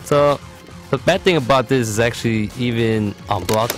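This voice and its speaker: A man's voice: medium pitch, normal speed. A man giving explanatory video game tutorial commentary in a casual, matter-of-fact way. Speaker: competitive gamer presenting a character guide. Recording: voice-over with music behind. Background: game music.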